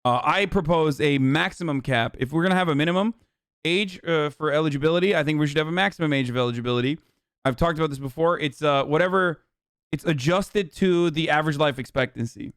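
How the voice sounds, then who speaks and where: A man streaming live reaction commentary, exasperated, argumentative and quick to swear. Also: a little high, fairly bright tone, clear, resonant tone, dry recording, clean studio sound. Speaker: streamer host.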